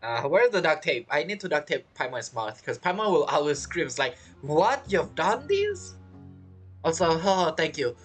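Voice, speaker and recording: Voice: medium pitch, very animated, moderate pace, breathy edge. A streamer giving casual, joking commentary over a game playthrough, full of teasing asides. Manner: teasing, joking asides, non-native phrasing. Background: video game music.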